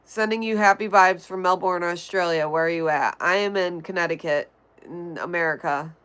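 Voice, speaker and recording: Chatty woman on a livestream, low-pitched. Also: moderate pace, fairly bright tone, smooth. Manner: chatty, answers comments directly. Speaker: livestream host. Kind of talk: casual livestream chat, reading viewer comments aloud. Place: home in Connecticut.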